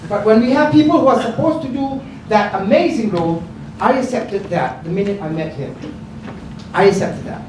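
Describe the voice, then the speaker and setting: A woman's voice: mid-range voice, expressive, normal speed. A woman moderating an event, addressing the audience informally and emphatically, repeating phrases for effect. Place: indoor book launch event room. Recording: very echoey room, distant mic, crowd noise.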